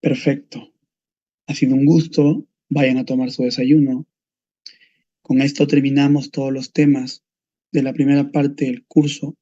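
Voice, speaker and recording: A man's voice: mid-range voice, level tone, unhurried, dark tone, dry recording. A man, a doctor, giving an online medical lecture in a firm, explanatory way, speaking straight to his students over a video call. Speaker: doctor teaching a medical course. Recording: dull lo-fi video call audio.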